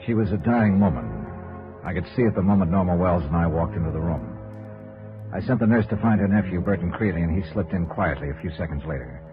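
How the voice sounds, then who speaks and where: An actor playing a calm, world-weary insurance investigator in a 1950s radio noir, clipped and matter-of-fact. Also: male voice, deep voice. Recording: muffled old radio recording with music.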